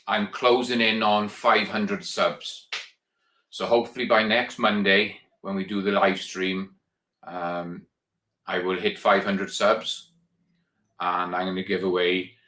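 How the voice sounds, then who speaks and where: A man's voice: low-pitched, fairly bright tone, roomy sound. A Welsh man hosting a casual live stream, chatting and rambling to viewers he calls guys. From Wales. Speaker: channel host.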